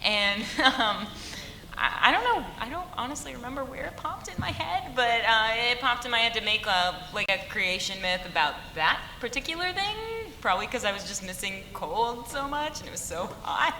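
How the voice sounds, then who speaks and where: A woman's voice: on the high side, lively intonation, moderate pace, bright, forceful tone. A woman writer speaking casually on a convention panel, rambling and laughing often. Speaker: writer on an animation team panel. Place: large convention hall. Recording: echoey hall, faint room noise.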